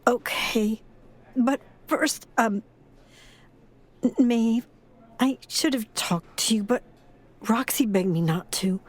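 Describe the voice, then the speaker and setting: An actress playing a sorrowful old grandmother with a halting, sighing delivery in an audio drama. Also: on the high side. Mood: weary, hesitant. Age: older. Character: frail, grieving, tough old grandmother and former foster mother.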